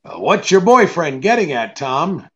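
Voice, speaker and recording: An actor playing a wary, hard-boiled private detective, curt and sardonic, in 1940s radio drama style. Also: male voice, a little high, sing-song, measured pace, a bit bright, highs cut off, exaggerated voice. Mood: defensive, wry.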